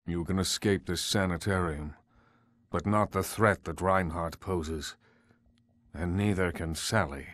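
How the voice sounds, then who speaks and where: An actor playing the solemn ghost of a professor in a video game, formal, grave and urgent. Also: male voice, low voice, measured pace, fairly bright tone, no room sound, clean studio sound. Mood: grave warning. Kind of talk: video game cutscene acting.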